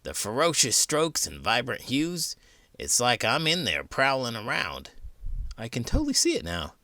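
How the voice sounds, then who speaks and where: A man's voice: medium pitch, huge pitch swings, moderate pace, pushed and bright, no room sound, heavily acted. A man voicing a hungry wild creature sidekick in a children's show, theatrical and self-absorbed. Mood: dreamy self-admiration.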